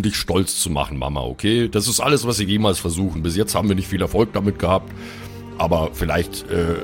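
Man playing a deep-voiced grumbling fantasy son. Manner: grumbling, weary. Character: grumbling, resigned adult son, reluctant fantasy adventurer.

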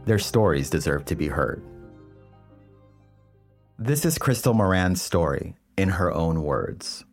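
Male documentary host with a very deep voice, measured earnest read over soft music. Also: animated. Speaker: podcast host. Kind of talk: scripted narration.

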